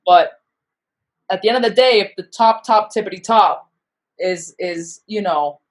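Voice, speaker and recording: Animated, self-deprecating woman chatting. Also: mid-range voice, measured pace. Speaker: podcast guest, actor and comedian. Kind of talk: casual interview chat.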